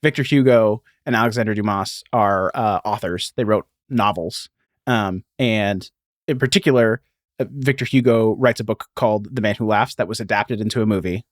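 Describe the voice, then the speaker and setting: A man's voice: on the low side, moderate pace, dry recording, studio quality. A man hosting a history podcast, explaining in a conversational way and breaking in with asides. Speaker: podcast host.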